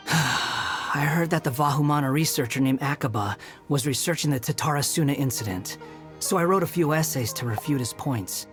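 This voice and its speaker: A man's voice: a little high, normal speed, fairly bright tone, soft background music, natural voice. An actor playing an aloof, sardonic young man who mocks and dodges questions, in video game dialogue. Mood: dismissive. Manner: mocking, dismissive, evasive.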